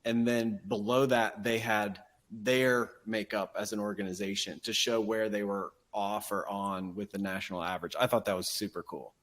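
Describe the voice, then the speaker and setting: A man with a fairly low voice chats as a podcast host. His delivery is casual and conversational, as in a relaxed interview. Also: normal speed.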